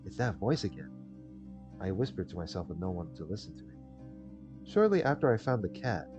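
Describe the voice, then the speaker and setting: A man's voice: deep voice, very animated, a little fast. A man hosting a gaming video, reading visual novel text aloud and adding casual, rambling commentary. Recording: dull lo-fi mic under loud music. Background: game music.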